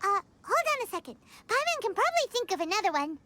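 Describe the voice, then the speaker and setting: Tiny chirpy fairy girl, very high squeaky voice, bouncy swooping delivery, game cutscene. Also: very animated, quick pace, pushed and bright, heavily acted. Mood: excited. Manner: talks of herself in third person, exclamatory. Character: tiny chirpy female fairy-like companion, excitable.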